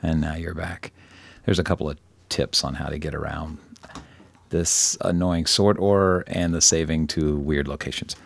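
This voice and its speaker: A man presenting an informal software demonstration, rambling a little, correcting himself and joking dryly. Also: very deep voice, steady tone, normal speed, creaky. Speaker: tutorial presenter.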